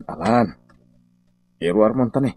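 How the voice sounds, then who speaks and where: An actor in a radio drama playing an irritable, evasive contractor boss with a blustering, defensive manner. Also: male voice, fairly low pitch, lively intonation, unhurried, a little mellow, no room sound. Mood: worried.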